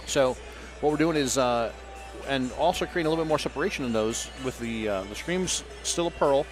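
A man's voice: mid-range voice, fairly quick, over music, low-quality recording. A man in casual booth chat, talking in a rambling, upbeat way with many fillers, on a headset mic. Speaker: guest company rep. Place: busy trade show hall.

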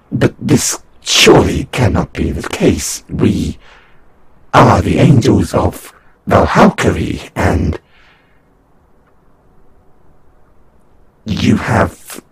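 Man as a very slow, husky alien angel. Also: on the low side, heavily acted. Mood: incredulous, lofty. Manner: halting, solemn, drawn-out formal delivery. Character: serene, condescending alien angel envoy of an empire. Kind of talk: scripted audio roleplay monologue.

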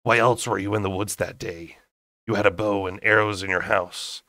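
A man voicing a reserved male fae lord in a storybook, measured and gentle. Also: fairly low pitch, fairly even intonation, no room sound, clean studio sound. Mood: assertive. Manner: measured, soft-spoken.

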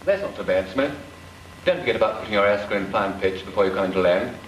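A brisk flying instructor, played by an actor, gives clipped orders to his trainees. His voice rings with a roomy echo, and a faint hiss of the 1940s soundtrack lies underneath. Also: fairly low pitch, expressive, lightly acted.

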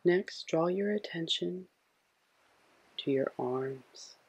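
A man with a husky, breathy voice gently guiding a sleep meditation in a soothing, hushed way. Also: fairly high pitch, slow, rough recording. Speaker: meditation guide.

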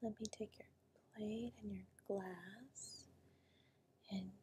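A woman playing a tender, doting wife in an intimate comfort audio roleplay, speaking softly and breathily, often dropping toward a whisper. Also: mid-range voice, fairly even intonation, takes it slowly, slight background noise, lightly acted. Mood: soothing, sleepy tenderness. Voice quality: soft, breathy, creaky.

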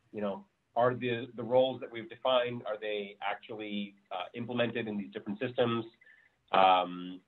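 A man giving a casual technical presentation on a video call, loose and rambling, with many fillers. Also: on the low side. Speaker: meetup presenter. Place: home room on a video call. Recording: thin phone-like call audio.

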